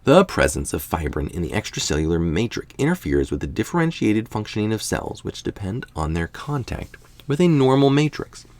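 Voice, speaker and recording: A man's voice: fairly low pitch, big pitch swings, brisk, hardly any pauses, a little mellow. A man reading a scientific health article aloud, now and then adding a casual remark of his own.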